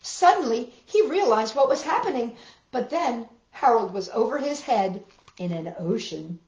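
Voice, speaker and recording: High lively female voice, middle-aged, expressive children's storyteller, roomy lo-fi recording. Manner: animated, expressive, deliberate phrasing when reading. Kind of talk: storytelling for children, talk and picture-book reading. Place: indoors in a living room. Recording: roomy echo, dull lo-fi sound.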